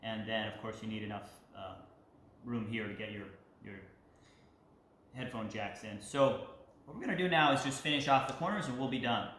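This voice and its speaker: A man in his thirties giving a casual hands-on tutorial, speaking haltingly with pauses mid-sentence. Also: medium pitch, fairly bright tone. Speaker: leathercraft instructor. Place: large indoor workshop with concrete floor. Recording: echoey roomy sound, clear background noise.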